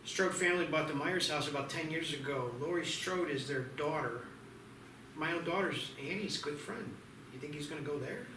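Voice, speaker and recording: A man reading the part of a gruff, wisecracking but devoted sheriff and husband in an amateur screenplay table read, plain-spoken and wry. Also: fairly high pitch, moderate pace, close to an everyday voice. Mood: conferring, uneasy. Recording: echoey, noisy, distant microphone.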